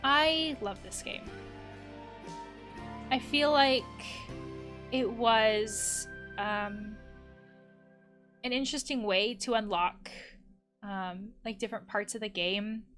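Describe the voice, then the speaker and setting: A woman's voice: a little high, slow pace, long pauses, fairly bright tone, soft background music. A woman hosting a game playthrough, thinking aloud in a reflective, conversational way. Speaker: host of a gaming channel, therapist. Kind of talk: let's play commentary, reading game text aloud.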